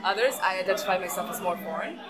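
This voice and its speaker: A woman's voice: on the low side, fast. A woman being interviewed, speaking hesitantly with many fillers. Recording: loud crowd noise around.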